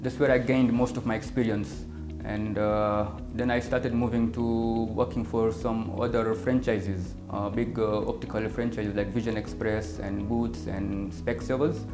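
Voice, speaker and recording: A Kenyan man in his thirties with a lowish voice, an optician telling his story at an unhurried pace. He pauses often on fillers. The room is echoey and has music behind it. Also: level tone. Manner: measured, with many fillers. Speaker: optician and business owner. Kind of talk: interview answers.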